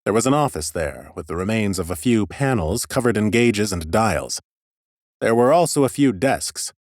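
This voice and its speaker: A man reading expressive first-person scripted narration as an audiobook narrator. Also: lowish voice, moderate pace, no room sound, clean studio sound.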